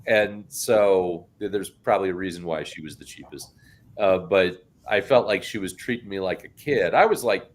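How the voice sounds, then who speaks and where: A middle-aged man co-hosting a podcast in casual chat, talking in a big loud voice. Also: low voice, moderate pace. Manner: animated, self-described loud talker with a big voice. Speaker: podcast co-host.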